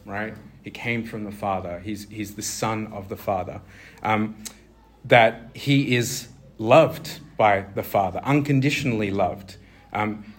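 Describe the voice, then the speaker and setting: A man preaching an informal sermon, talking conversationally with many fillers and restarts and asking his listeners questions. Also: mid-range voice.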